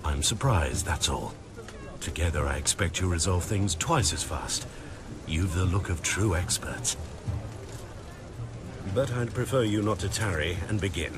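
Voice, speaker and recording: An actor playing a smug, treacherous middle-aged merchant in a video game, speaking with pompous mock courtesy. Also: male voice, low-pitched, lively intonation, a little slow, long pauses, background noise. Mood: oily politeness. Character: smug, oily, treacherous male grain merchant.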